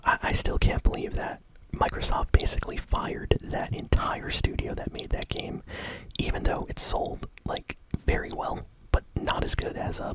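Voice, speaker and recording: A person whispering casual, conversational gaming news in a soft, breathy ASMR style. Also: low-quality recording.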